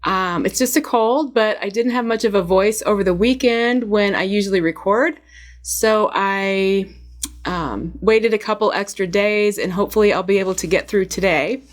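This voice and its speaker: Hoarse woman chatting with a cold. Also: mid-range voice, moderate pace. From United States. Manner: chatty, frequent ums. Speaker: knitting vlogger. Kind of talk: casual chat to camera.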